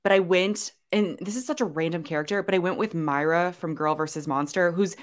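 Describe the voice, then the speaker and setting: A young woman co-hosts a relaxed podcast, chatting freely with plenty of fillers and false starts. Her voice sits a little low for a woman. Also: fairly quick. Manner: chatty, lots of fillers and restarts. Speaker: podcast co-host. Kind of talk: casual chat.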